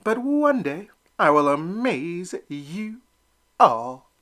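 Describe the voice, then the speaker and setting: Brief: man voicing a cheeky storybook duckling boy, high and bouncy. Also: sing-song, drawn-out words, a bit bright, heavily acted. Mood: chirpy. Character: cheerful young boy duckling, artsy and sassy.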